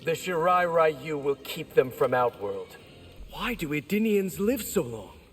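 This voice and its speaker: An earnest young ninja speaks politely in a slightly high male voice. He is sincere and respectful, a video game hero, heard in long reverb with faint noise. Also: expressive, measured pace. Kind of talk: dramatic video game acting.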